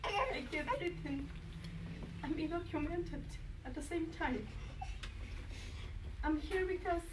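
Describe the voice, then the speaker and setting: A woman, English her third language, giving halting, emotional testimony at a community forum. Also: high-pitched. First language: Nahuatl. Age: 40s. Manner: halting, searching for words. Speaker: forum speaker. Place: community center meeting room. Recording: echoey room, far mic, noisy.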